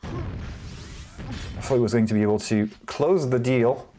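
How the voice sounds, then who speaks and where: A man casting a competitive video game match solo, chatty and relaxed, often correcting himself. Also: mid-range voice, moderate pace, slight background noise. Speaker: esports caster. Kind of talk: solo play-by-play commentary.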